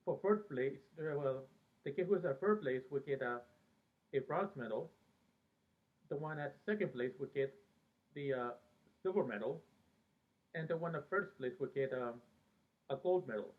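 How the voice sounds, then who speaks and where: Phone-like narrow recording, man, slightly high voice, hesitant, rambling casual reminiscence, frequent pauses. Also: lively intonation. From Peru, later lived in America. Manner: very hesitant, halting, pausing often mid-sentence. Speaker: fan vlogger. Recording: thin phone-like band-limited sound.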